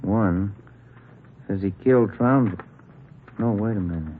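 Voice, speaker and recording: Plain-spoken American detective, low pitch, low-key, steady, laconic, vintage radio crime drama, muffled old recording. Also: male voice, slow, a little hiss or hum. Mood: puzzled, low-key. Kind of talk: 1940s radio police drama dialogue. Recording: dull 1940s radio recording, faint music.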